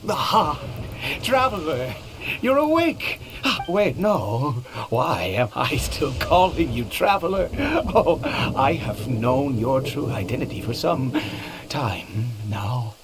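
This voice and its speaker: A middle-aged man playing an anguished, fanatical father in a video game, theatrical and intense, with halting dramatic pauses. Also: mid-range voice, very animated. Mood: fervent, awed. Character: anguished father turned fanatical abyss devotee, male, theatrical. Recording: noisy game stream capture.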